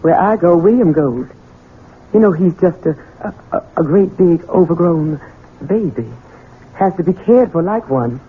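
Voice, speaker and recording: A tinny vintage radio sound frames a husky middle-aged housekeeper. She speaks humbly and folksily, anxious and protective, in old radio mystery style. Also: fairly low pitch, lively intonation, unhurried, exaggerated voice. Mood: humble, guarded. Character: anxious, deferential older housekeeper, protective mother. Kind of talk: 1970s radio drama acting. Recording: tinny 1970s radio recording.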